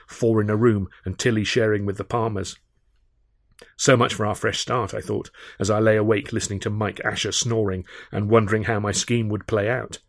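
A man reading a first-person audiobook in a measured, expressive and wry storytelling manner, close on the microphone. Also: lowish voice, no room sound. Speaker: author reading his own novel.

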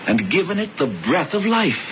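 A slick mysterious tempter in an old 70s radio play, really theatrical, pushy, voice swoops around, muffled recording. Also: male voice, high-pitched, very animated, moderate pace. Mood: fervent, awed. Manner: theatrical, insistent, dramatic emphasis. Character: smooth-talking, persuasive, mysterious male friend and tempter. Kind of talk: 1970s radio drama acting. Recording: muffled, hissy 1970s radio broadcast recording.

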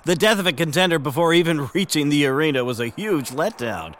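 A woman's voice: low voice, animated, a little fast, a little hiss or hum. A woman playing a chatty, gleefully morbid sports co-commentator in an audio drama.

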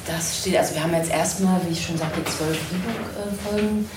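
A woman with a low voice answers informally in halting phrases at a live panel. She sounds distant in an echoey room, with noticeable noise behind her. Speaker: panel guest.

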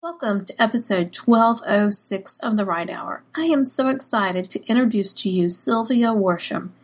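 A woman's voice: mid-range voice, expressive, normal speed. A woman co-hosting a writing podcast, introducing a guest and interviewing her with enthusiasm. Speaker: podcast co-host. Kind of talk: warm scripted intro then interview chat.

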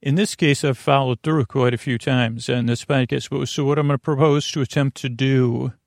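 A man with a creaky voice hosting a bedtime sleep podcast, meandering and halting in a soothing, lulling monologue that trails off into tangents. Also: mid-range voice, steady tone, no echo, studio quality. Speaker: podcast host.

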